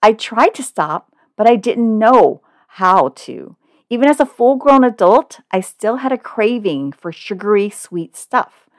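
A woman's voice: medium pitch, lively intonation, a little slow, no room sound, studio quality. A woman in her forties, a podcast host from California, talking in an upbeat, chatty, personal way. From Oakland, California. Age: 40s. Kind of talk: scripted podcast monologue.

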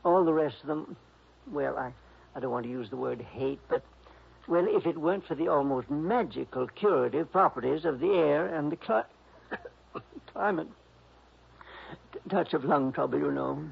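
An actor playing a sweet, dreamy, eccentric older composer, rambling and hesitant in a radio drama. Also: male voice, fairly high pitch, normal speed, vocal fry, long pauses, husky, full character voice. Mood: wistful, rambling. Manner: rambling, fluttery, hesitant, chuckling. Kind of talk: 1960s radio drama acting. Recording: dull, lo-fi old radio recording.

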